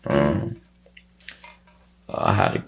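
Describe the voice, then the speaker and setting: A man's voice: drawn-out words, highs cut off, soft background music. A young man with a Chicago accent talking casually as an interviewed rapper, rambling with plenty of slang and swearing. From Chicago, USA.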